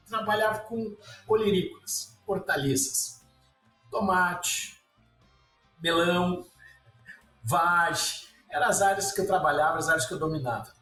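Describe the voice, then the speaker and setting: A man in his sixties with a Gaúcho accent from southern Brazil, telling his story as a guest in a relaxed interview. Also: fairly high pitch. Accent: Gaúcho Portuguese. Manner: relaxed, detailed storytelling with lists and restarts. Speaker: guest researcher. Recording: echoey room, somewhat far from mic, faint music.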